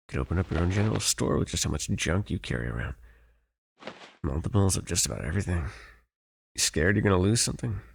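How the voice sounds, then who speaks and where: Casting: gruff wilderness guide for an ASMR roleplay, played by a man; very deep, husky, dry and teasing with the client. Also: exaggerated voice. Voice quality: low, gravelly, husky. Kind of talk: ASMR audio roleplay, one-sided dialogue addressed to the listener.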